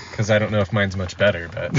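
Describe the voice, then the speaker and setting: A man talks casually as a guest on a podcast. His voice is fairly low. The sound is lo-fi and dull, with faint noise behind him. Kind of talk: casual chat.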